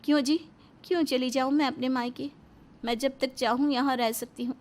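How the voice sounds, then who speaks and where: A woman's voice: sits high, fairly even intonation, normal speed, pitched above the performer's own voice, lightly acted. A woman voicing assertive, indignant women of an Indian family in a dramatic moral story. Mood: angry, defiant.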